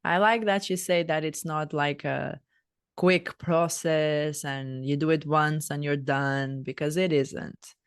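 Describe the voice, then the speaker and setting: A woman's voice: sits low, fairly even intonation, slow pace, smooth, no echo, clean studio sound. A woman from Portugal with a Portuguese accent, a native Portuguese speaker, hosting a podcast interview in a reflective, conversational style. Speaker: podcast host.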